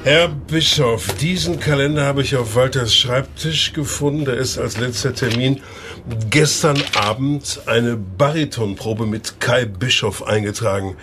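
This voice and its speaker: A man playing a matter-of-fact village policeman in a naturalistic radio drama, measured and inquisitive. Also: mid-range voice, close to an everyday voice.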